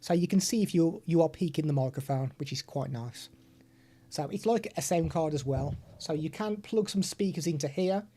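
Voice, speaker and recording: British man, mellow live-stream host. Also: on the high side. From United Kingdom. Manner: chatty, explains step by step while chatting with viewers. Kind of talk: live-stream product demo.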